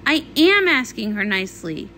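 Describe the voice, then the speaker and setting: Woman as young cartoon pony mare, lowered pitch, blunt, forceful, determined. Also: very animated, normal speed, a little hiss or hum. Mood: confrontational. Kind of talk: comic read-along character voice.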